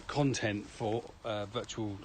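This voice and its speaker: A man's voice: fairly low pitch, steady tone, takes it slowly. Inquisitive British man, some ambient noise. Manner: inquisitive, echoes and sums up answers. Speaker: podcast co-host, asking questions. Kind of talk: casual conversational interviewing.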